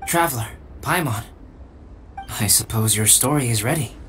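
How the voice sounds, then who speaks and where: An actor playing a calm, scholarly young male alchemist in a video game, speaking in a measured, formal, understated way. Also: mid-range voice, very noisy, natural voice. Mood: composed, dry. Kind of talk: scripted video game storytelling.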